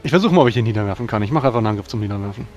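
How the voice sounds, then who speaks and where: A man's voice: on the low side, lively intonation, rapid-fire, a little mellow, faint music behind. A man chatting casually as a player in a tabletop role-playing game.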